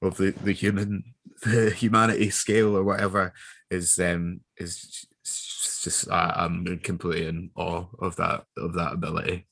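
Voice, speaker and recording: A man with a fairly low voice, recorded dry and close. He chats casually in a halting way, joking and often poking fun at himself. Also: flat delivery, vocal fry, fairly bright tone, breathy edge, no room sound. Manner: jokey, self-deprecating, halting. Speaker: actor being interviewed. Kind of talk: casual chat.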